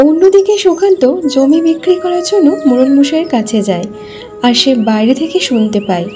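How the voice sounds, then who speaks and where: A woman's voice: sits high, expressive, brisk. A woman narrating a cartoon folk tale and voicing its village wives. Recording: music behind the voice.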